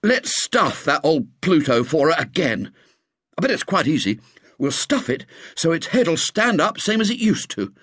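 A man's voice: on the high side, big pitch swings, moderate pace, fairly bright tone, slightly rough, dry recording, studio quality, pitched above the performer's own voice, heavily acted. A man voicing a brash, confident schoolboy ringleader, eager and breezy.

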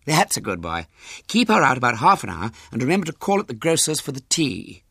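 Man voicing an exasperated mother, pitched up. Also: very animated, a little fast, vocal fry, bright, forceful tone, slightly rough, no room sound, clean studio sound, full character voice. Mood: coaxing. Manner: coaxing, scolding, emphatic. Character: exasperated middle-class English mother of a schoolboy.

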